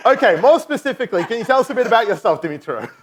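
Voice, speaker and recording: A man's voice: very high voice, big pitch swings, rapid-fire, slightly rough. Chatty man chairing a panel. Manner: chatty, joking, upbeat. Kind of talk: live panel hosting. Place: conference stage before an audience.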